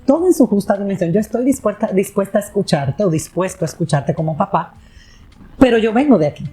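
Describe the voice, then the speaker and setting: A woman hosting a panel conversation, her voice mellow and low in brightness. She talks in loose, winding sentences and keeps restarting and correcting herself. Her pitch sits in a middle range. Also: animated, fast talker, a little hiss or hum. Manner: rambling, self-correcting, restarts with fillers. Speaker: host.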